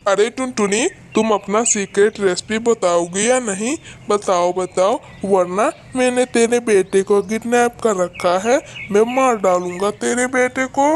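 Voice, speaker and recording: A woman's voice: medium pitch, measured pace, few pauses, a little hiss or hum, close to an everyday voice. A woman voicing a scheming, threatening male crow in a cartoon, with emphatic, repetitive delivery. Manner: emphatic, repeats threats and calls. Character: scheming, threatening male crow who runs a food stall. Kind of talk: Hindi cartoon dialogue.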